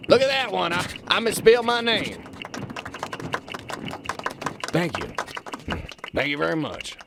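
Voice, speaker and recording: A puffed-up, folksy kingpin drawls and boasts through a radio satire. His voice sits high and swings widely in pitch, and he bullies everyone around him. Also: vocal fry, a bit bright, slight background noise, heavily acted. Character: pompous, folksy, bullying male kingpin boss. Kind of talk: satirical radio drama acting.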